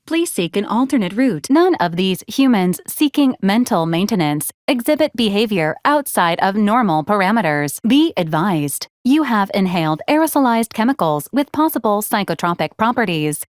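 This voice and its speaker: Deadpan, formal female robot assistant, acted by a woman. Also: medium pitch, normal speed, hardly any pauses, no echo, clean studio sound, natural voice. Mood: flat, courteous, deadpan. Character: polite, dryly droll female robot assistant unit. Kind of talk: scripted video game character lines.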